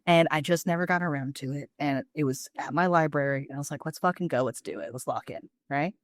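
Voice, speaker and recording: Podcast co-host, mid-pitched and dry-recorded, casual, enthusiastic, slang-heavy and freely sweary. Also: quick pace, no echo.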